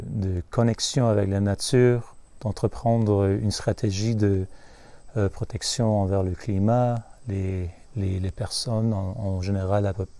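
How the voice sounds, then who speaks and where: A man's voice: on the low side, mellow, dry recording. A middle-aged man, speaking unscripted at a chimpanzee sanctuary, hesitant with many filler sounds and restarts. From Canada, Montreal. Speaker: sanctuary staff member. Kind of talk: unscripted video presentation. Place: at a chimpanzee sanctuary in West Africa.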